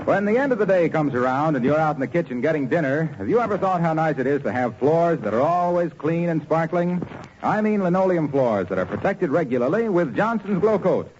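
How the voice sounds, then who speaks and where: A man's voice: on the high side, lively intonation, fairly quick, a little mellow. A man working as a 1940s radio announcer, reading scripted copy with polished, cheerful salesmanship. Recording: dull, faintly noisy old radio recording.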